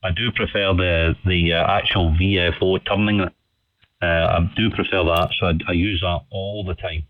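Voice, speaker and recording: Guy on ham radio, low voice, chatty n polite, dull radio sound. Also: fairly even intonation, moderate pace, no echo. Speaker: amateur radio operator. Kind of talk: casual ham radio chat. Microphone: studio condenser microphone over radio. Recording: dull band-limited shortwave radio signal.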